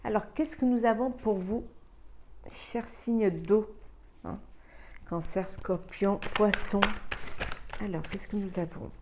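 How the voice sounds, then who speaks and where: Woman w a cold doing a tarot reading, mellow soft voice, lots of ums and repeats. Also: mid-range voice, slow, pauses often, a little hiss or hum. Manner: hesitant, many fillers and repeated words. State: has a cold. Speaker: tarot reader. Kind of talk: weekly astrology card reading.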